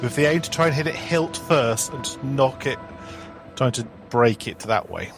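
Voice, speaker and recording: A man playing a tabletop game, describing what his character does, with a creaky voice. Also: mid-range voice, moderate pace. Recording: music bed under the voice.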